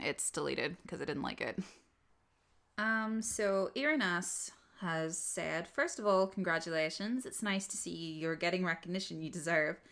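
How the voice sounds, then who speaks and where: A young woman from Northern Ireland with a Northern Irish accent, speaking in a smooth, clear and fairly low voice. She chats off the cuff in a frank, informal way, like a creator answering her audience's questions. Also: sharp tone. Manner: candid, blunt, informal with swearing. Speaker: audio roleplay creator answering subscriber questions. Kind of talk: casual unscripted Q&A chat.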